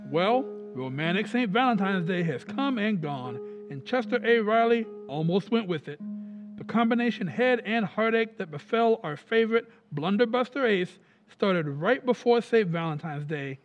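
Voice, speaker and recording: High, animated old-time radio announcer man. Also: moderate pace. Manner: declamatory, salesmanlike. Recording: dull sound under music. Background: music.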